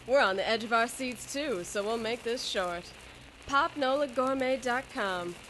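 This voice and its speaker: A woman's voice: a little high, a little slow, fairly bright tone, slight background noise, poor audio. A woman reading a casual sponsor advertisement as an announcer.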